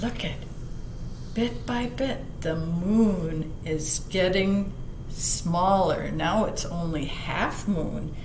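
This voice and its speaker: A woman reading a picture book aloud to children, expressive, putting questions to her young listeners and dropping into hushed asides. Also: lowish voice. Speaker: children's library storyteller. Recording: roomy echo, some background noise.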